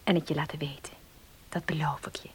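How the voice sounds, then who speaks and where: An actress playing a hesitant, soft-spoken woman in a radio play, with a breathy delivery. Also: deep voice, fairly even intonation, a little fast, a little hiss or hum, lightly acted. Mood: hesitant, earnest. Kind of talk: Dutch radio play acting.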